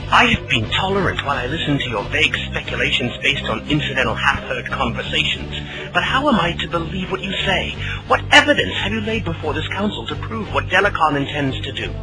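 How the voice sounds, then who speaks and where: Irate male royal man actor, radio fantasy: imperious, demanding, raised pitch, loud score behind. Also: sing-song, a little fast, music in the background, poor audio. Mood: indignant. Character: angry, imperious human prince. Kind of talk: fan radio play acting.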